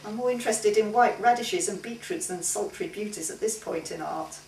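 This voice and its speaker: A woman voicing an impatient, teasing male time-travelling painter in a story reading, animated and argumentative. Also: medium pitch, normal speed, close to an everyday voice. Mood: teasing, stubborn. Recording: roomy, distant mic, faint hiss.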